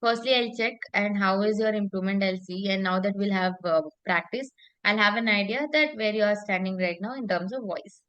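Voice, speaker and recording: A woman's voice: mid-range voice, fairly quick, fairly bright tone, muffled recording. A woman coaching in conversation, speaking emphatically, repeating phrases and often checking for agreement.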